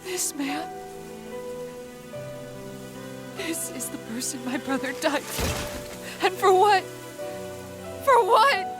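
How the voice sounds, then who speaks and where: A woman's voice: extremely high pitch, big pitch swings, takes it slowly, loud music behind the voice, exaggerated voice. A woman voicing a fierce young captain in a dramatic video game, grieving and betrayed, with raw intensity. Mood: bitter anguish.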